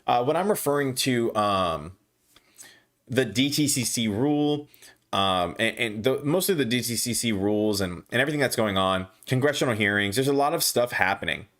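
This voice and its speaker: A young man hosting a casual stock livestream, reading viewer comments aloud and answering them. He talks haltingly with many restarts and fillers, and his voice is going hoarse. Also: mid-range voice, animated, fairly bright tone. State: losing his voice, clearing throat.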